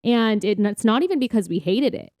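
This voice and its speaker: A woman's voice: mid-range voice, expressive, fairly quick, a little mellow, no room sound, studio quality. A woman co-hosting a podcast in casual chat, with an upbeat manner and a readiness to laugh as she talks. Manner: upbeat, laughs often while talking. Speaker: podcast co-host.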